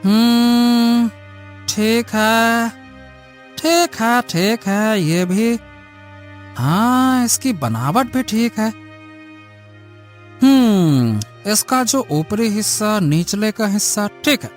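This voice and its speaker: A man playing a fussy village vegetable expert who mutters deliberate appraisals in a moral story. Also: high-pitched, lively intonation, faint music behind, exaggerated voice. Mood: pondering.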